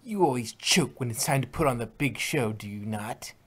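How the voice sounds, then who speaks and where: A man voicing a harsh, contemptuous female team captain in a fan reading, in a husky voice. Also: medium pitch, animated, moderate pace.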